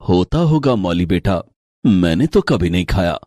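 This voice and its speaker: A man's voice: deep voice, sing-song, unhurried, warm tone, no echo, studio quality, exaggerated voice. A man acting a tender, poor father. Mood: tender, caring. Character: poor, loving father, a troubled hotel waiter. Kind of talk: Hindi moral story voice acting.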